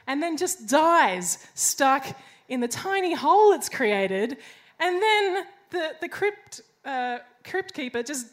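High-voiced woman hosting a comedy night. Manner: quick, jokey, giggling between lines. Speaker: comedy debate host. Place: live bar stage with audience.

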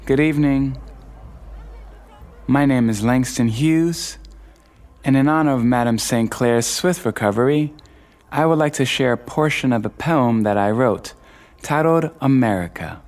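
An actor playing a solemn Harlem Renaissance poet, formally addressing a gathering and reciting verse. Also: male voice, medium pitch, unhurried, a little mellow, faint music behind, natural voice.

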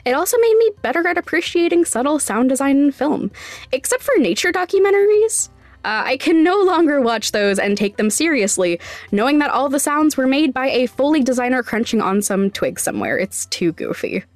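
Casting: female podcast narrator, slightly high voice, deliberate and emphatic teaching delivery, dry studio-style sound. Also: sing-song, dry recording, faint music behind. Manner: deliberate, emphatic step-by-step phrasing. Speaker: podcast host, sound designer. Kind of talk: scripted instructional podcast narration.

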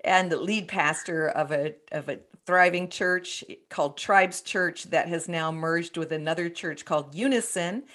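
A woman in her forties hosts a faith podcast as a pastor, speaking earnestly and steering with questions. Her voice sits a little low for a woman. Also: a little slow, hardly any pauses, fairly bright tone. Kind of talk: podcast interview hosting.

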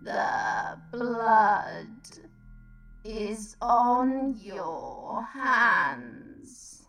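A woman playing a vengeful, accusing ghost in a satirical radio play, anguished and drawn-out in delivery. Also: fairly high pitch, animated, creaky, fairly bright tone, soft background music, exaggerated voice, poor audio. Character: accusing, wailing vengeful ghost of a wronged politician.

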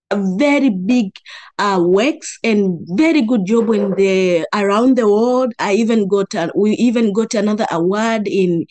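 A woman's voice: a little high, a little mellow. A woman from Tanzania with a Swahili-accented English, speaking earnestly as an interview guest on a call and laughing often. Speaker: guest, entrepreneur. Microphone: video or phone call.

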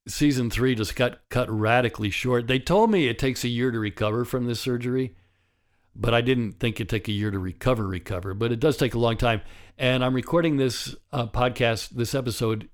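A man in his sixties with a raspy voice from a partly paralyzed vocal cord, talking candidly and wryly as host of a solo podcast. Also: medium pitch. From United States. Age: 60s. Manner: candid, wry and conversational, with chuckles. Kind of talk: reflective solo podcast monologue.

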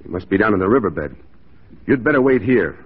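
An actor playing a stern, duty-bound mounted police sergeant with brisk, clipped orders in 1940s radio style. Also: male voice, fairly low pitch, big pitch swings, slightly rough. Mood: brisk, alert. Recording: noisy, muffled 1940s radio recording.

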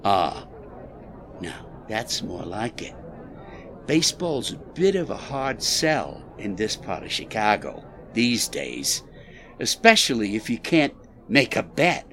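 An actor playing a proud, defensive club boss in a 1920s audio drama, streetwise and combative, sliding between bluster and false politeness. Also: male voice, on the low side, big pitch swings, slow, fairly bright tone, exaggerated voice. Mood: menacing, guarded. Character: defensive tough male Chicago athletic club boss, slippery and proud. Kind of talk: audio drama period dialogue.

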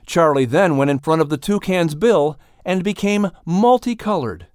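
A woman narrating a children's audiobook with expressive, scripted storytelling. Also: fairly low pitch, normal speed, smooth, no room sound, studio quality.

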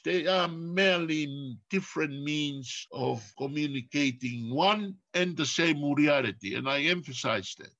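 Slow, deliberate older Tongan man. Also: a little high, creaky, a bit bright, muffled recording. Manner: deliberate, repeats phrases for emphasis, lists words. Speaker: philosopher guest. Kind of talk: reflective lecture-like talk.

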